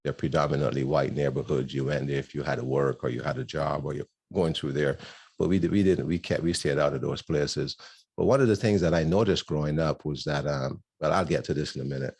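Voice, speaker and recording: An older man from Barbados, a guest speaker telling his life story in a rambling, storytelling way with many pauses. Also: rumbling low, gravelly, breathy edge. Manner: warm storytelling with frequent ums and laughs. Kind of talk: personal testimony talk.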